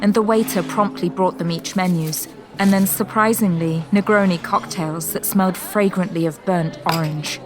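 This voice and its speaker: A woman's voice: on the low side, steady tone, moderate pace, natural voice. A woman narrating a scripted audio drama as a poised storyteller.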